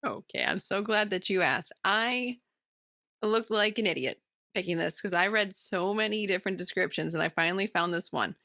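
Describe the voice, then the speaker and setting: A woman's voice: medium pitch, normal speed, bright, forceful tone, dry recording, clean studio sound. A woman co-hosting a podcast, talking casually and with enthusiasm about what she reads. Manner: informal, enthusiastic. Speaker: podcast co-host. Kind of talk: casual book-club chat.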